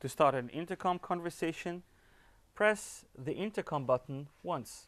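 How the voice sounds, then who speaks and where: A man's voice: a little high, expressive, normal speed, a bit bright. A man giving scripted step-by-step instructions as an upbeat tutorial presenter.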